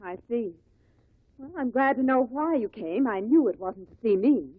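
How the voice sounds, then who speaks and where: An actress playing a cool, resentful wife with a clipped, reproachful manner in a 1950s radio drama. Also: female voice, a little high, animated, normal speed, dry recording. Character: cool, resentful wife torn toward her mother. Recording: tinny, dry old radio recording.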